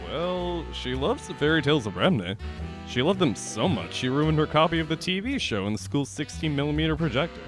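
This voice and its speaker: A man's voice: on the high side, big pitch swings, a little fast, over music, rough recording. A man voicing a wary young male student with a wry, uneasy manner in a fan audio drama. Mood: casual.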